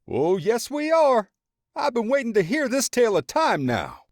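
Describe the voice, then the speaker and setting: A man's voice: very high-pitched, sing-song, a little fast, dry recording, studio quality, voice pushed up, heavily acted. A man voicing a young male best friend gleefully teasing.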